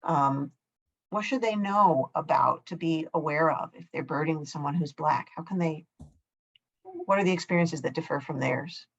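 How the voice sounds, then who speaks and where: Husky-voiced woman asking careful questions. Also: low voice, normal speed. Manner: thoughtful, searching for words. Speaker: host. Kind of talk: interview questions. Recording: dull lo-fi audio.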